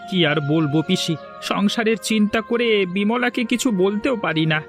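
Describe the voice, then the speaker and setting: Man acting a high-voiced, worried village father. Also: normal speed. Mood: worried resigned. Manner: plaintive, resigned. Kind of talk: melodramatic Bengali audio story acting. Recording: music under the voice.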